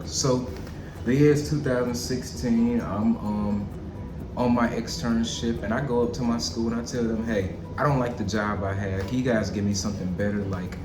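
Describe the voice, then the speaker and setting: A man in his thirties from Alabama, telling a casual storytime to his viewers and calling them y'all. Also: on the low side. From Auburn, Alabama. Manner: chatty, addresses viewers as y'all. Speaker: vlogger and chef. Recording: roomy echo with music behind.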